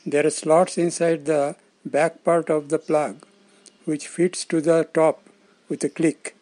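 A male tutorial presenter with a somewhat high-pitched voice reads scripted instructions. He explains things plainly, breaking the narration into short, measured phrases. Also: little pitch movement, a little mellow.

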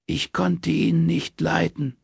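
An older woman in a storybook crime novel, acted out by a male reader, pitched high. She is shy and childlike, and speaks bluntly in short, simple sentences. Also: flat delivery, slow, vocal fry, husky, no room sound, studio quality, exaggerated voice. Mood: blunt, guileless.

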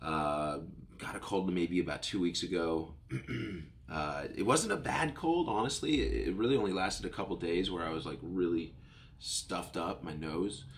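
Man, low voice, stuffy nose, casual rambling podcast host, studio mic. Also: expressive, moderate pace. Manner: rambling, upbeat, self-correcting. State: slightly stuffed up from a cold. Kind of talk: casual solo podcast chat. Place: home studio room. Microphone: studio mic on boom arm, headphones.

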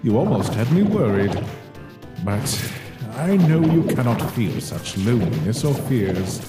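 A man voicing a cold, condescending mad scientist in a comic dub, formal, measured, precise and menacing. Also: mid-range voice, very animated. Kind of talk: animated comic dub acting. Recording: echoey, with music behind.

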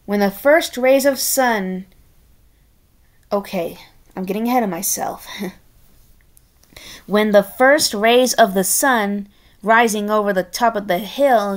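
A woman reading a children's picture book aloud expressively and deliberately, with chatty asides of her own. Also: mid-range voice. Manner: expressive, deliberate, adds playful commentary. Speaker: storybook reader.

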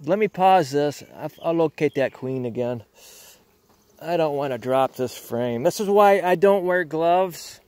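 A man's voice: fairly high pitch, expressive, measured pace, darkish tone, dry recording. An older man beekeeper chatting casually outdoors while working his hives, rambling with frequent restarts. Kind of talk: casual hands-on demonstration. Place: outdoors among beehives in a yard.

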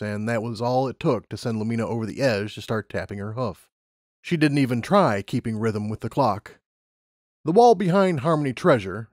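A man's voice: mid-range voice, a little mellow, no echo, studio quality. A man reading a scripted story as an audiobook narrator.

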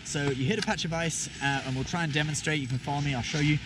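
A man's voice: a little high, quick pace. A young man giving ski lessons on a slope, talking casually into an action camera with many fillers and checks. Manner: relaxed, talks while skiing, fillers and "okay?" checks. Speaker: ski instructor. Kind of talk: instructional vlog. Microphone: action camera on a pole. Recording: loud wind noise outdoors.

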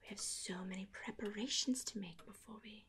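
A woman in her thirties playing a genteel, affectionate Regency wife in a soft, breathy, unhurried ASMR whisper, close to the microphone. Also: mid-range voice, lightly acted. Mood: fond, pleased. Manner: genteel, unhurried, old-fashioned phrasing. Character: genteel Regency married sister, affectionate and house-proud. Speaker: ASMR roleplay performer. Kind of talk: whispered ASMR period roleplay.

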